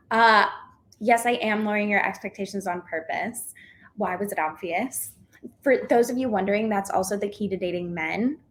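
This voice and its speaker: A stand-up comedian with a high voice, delivering a dry, deadpan set. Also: female voice, a little hiss or hum.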